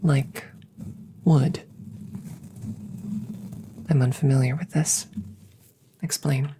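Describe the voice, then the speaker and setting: A woman playing a curious, clinical alien scientist in an ASMR roleplay, speaking softly and formally at a slow, measured pace, close to the mic. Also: very deep voice, fairly even intonation, noisy background, full character voice. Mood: fascinated, hushed. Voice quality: soft, often whispered close to the mic. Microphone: close microphone.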